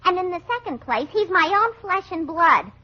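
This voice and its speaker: Brash young New woman, extremely high animated voice, sassy and slangy, vintage radio comedy. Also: moderate pace. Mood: indignant. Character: brash, dim, mouthy young daughter of tavern owner. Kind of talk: 1940s radio comedy acting. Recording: dull vintage radio recording.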